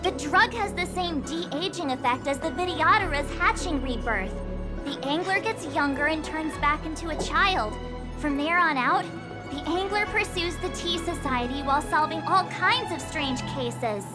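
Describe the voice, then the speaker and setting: An actress voicing a bubbly young woman who loves mystery novels, excitable and theatrical, quick to jump to wild theories, in a video game dub. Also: high-pitched, close to an everyday voice. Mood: storytelling. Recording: game cutscene with music behind.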